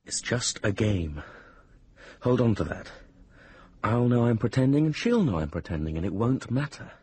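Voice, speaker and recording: A man's voice: mid-range voice, big pitch swings, normal speed, dark tone, husky, dry recording. An actor playing an anxious, lovesick young man in a radio drama, earnest and confiding as he tells his own story. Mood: confiding, brooding. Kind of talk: radio drama acting and first-person narration.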